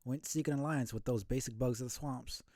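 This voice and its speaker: A man's voice: mid-range voice, a little fast, dark tone, dry recording, studio quality, natural voice. A man voicing a jaded, scornful bug in a video game, blunt and folksy in manner. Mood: wistful, scornful. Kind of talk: video game dialogue read aloud.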